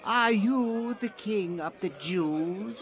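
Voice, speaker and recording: A man putting on a slow, high-voiced Roman governor. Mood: questioning. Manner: slow, deliberate delivery in a raised voice. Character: stern male Roman governor, weary and measured.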